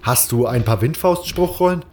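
A man's voice: mid-range voice, expressive, normal speed, darkish tone, natural voice. Man playing a determined swordsman hero over music. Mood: planning, firm. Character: determined, commanding male swordsman hero, first-person protagonist. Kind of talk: dramatised audiobook dialogue.

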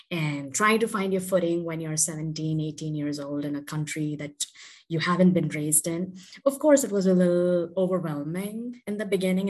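A woman's voice: deep voice, darkish tone. A woman in her thirties, from India, telling her story as a guest in a chatty, self-deprecating way with many fillers. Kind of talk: casual interview storytelling.

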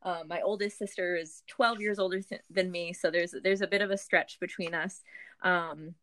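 An American woman telling her personal story as a guest in a candid, reflective, halting way, often restarting her phrases. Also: lowish voice, fairly even intonation, fairly bright tone. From Boston area, Massachusetts, USA. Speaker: podcast guest, business owner.

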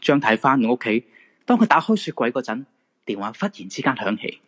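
A man narrates a radio ghost drama in Hong Kong Cantonese. His mid-pitched voice moves around in a lively, dramatic way. Soft music plays underneath. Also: a little fast.

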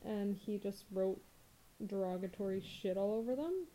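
Mellow-voiced woman in her 30s, blunt and dry, swears casually, podcast chat. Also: medium pitch, expressive, moderate pace, low-quality recording. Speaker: podcast co-host.

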